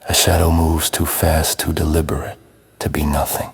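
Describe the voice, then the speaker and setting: Very deep, husky man narrating ominous noir. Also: level tone. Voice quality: very husky, gravelly rasp. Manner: ominous, terse, measured. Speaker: narrator of a neo-noir audio drama. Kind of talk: scripted noir narration in short present-tense sentences.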